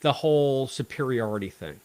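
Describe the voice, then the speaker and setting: Animated man co-hosting a casual discussion. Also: medium pitch, moderate pace. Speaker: podcast co-host.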